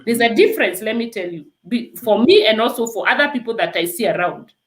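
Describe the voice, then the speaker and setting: A Kenyan woman with a high, lively voice that leaps up and down as she urges her listener on. She speaks with earnest conviction, often stressing her point with "let me tell you." The sound carries some echo from the room. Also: fast talker. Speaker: nurse and guest. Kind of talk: emphatic testimony in an interview.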